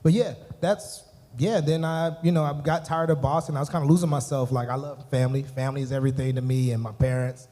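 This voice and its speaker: A man's voice: medium pitch, fairly even intonation, fast, mellow. A Southern American man talks in a long, hall-like reverb. He is an easygoing, jovial interview guest who speaks casually. His answers ramble, circling back over the same phrases. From Columbia, South Carolina, US.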